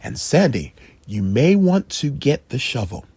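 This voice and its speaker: A storyteller voicing a calm father who explains the family history to his son. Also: medium pitch, husky, dry recording, full character voice. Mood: explaining. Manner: soft, measured storytelling. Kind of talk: children's storybook read-aloud.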